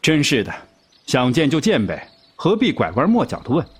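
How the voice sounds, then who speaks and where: An actor playing a brash, blunt wolf king in a costume audio drama, gruff and impatient. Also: medium pitch, huge pitch swings, normal speed. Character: brash, blunt wolf king, a grown man at his wedding.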